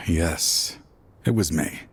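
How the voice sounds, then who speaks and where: An actor playing an aging, regretful father and former lawman in a video game, with a slow, sighing, dramatic delivery. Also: extremely low pitch, a little hiss or hum, heavily acted. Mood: weary, guarded. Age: older.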